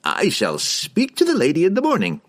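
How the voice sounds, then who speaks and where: A flustered, timid wizard from a fantasy storybook, played by a man, hesitant and prone to stammering. The voice is pushed up high from the reader's own and wobbles widely in pitch. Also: huge pitch swings, normal speed. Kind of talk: fantasy audiobook character voice.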